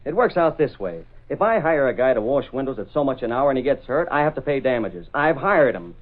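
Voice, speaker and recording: An actor playing a tough but soft-hearted, slangy newspaper reporter in a 1930s radio drama. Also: male voice, medium pitch, big pitch swings, a little fast, natural voice. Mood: earnest, explaining. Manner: slangy, informal. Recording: muffled band-limited old radio recording.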